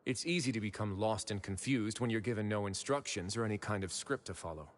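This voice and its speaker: Man voicing a calm, low-voiced noble warden. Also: natural voice. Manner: calm, measured, authoritative. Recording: game audio with music behind.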